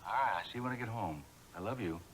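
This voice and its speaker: A man's voice: lowish voice, fast, fairly bright tone, a little hiss or hum, natural voice, low-quality recording. An actor playing a stern father in a soap opera, commanding and cold in manner. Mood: fatherly.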